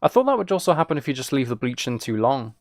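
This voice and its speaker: A man's voice: mid-range voice, big pitch swings, fast, no echo. A British man streaming a game and chatting casually with viewers, musing aloud in an informal, rambling way. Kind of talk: casual chat while playing a game.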